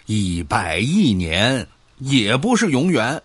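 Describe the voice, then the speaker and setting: A man acting an argumentative know-it-all in a sci-fi radio play, recorded close and dry. His pitch moves in a lively way as he needles and lectures his drinking buddy. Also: slow pace, breathy edge, no room sound, full character voice. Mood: teasing, contrary. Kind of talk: Chinese sci-fi audio drama dialogue.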